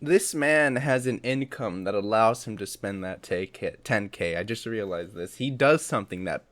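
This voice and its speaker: A young man chats as a podcast co-host. His voice sits on the low side and is recorded very dry. He talks loosely and informally, in the easy banter of friends. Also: animated, moderate pace, a bit bright, no echo. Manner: informal, often swearing. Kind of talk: casual banter.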